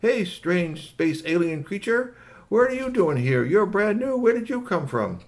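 A man's voice: sits high, big pitch swings, unhurried, voice pushed up. A man voicing an inquisitive, polite little pet dog in a children's story, playful and yappy. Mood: curious.

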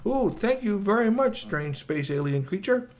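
A man's voice: sits high, animated, normal speed, voice pushed up. A man voicing a friendly, curious little male space alien in a children's story, with exclamatory, quaintly formal phrasing. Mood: pleased.

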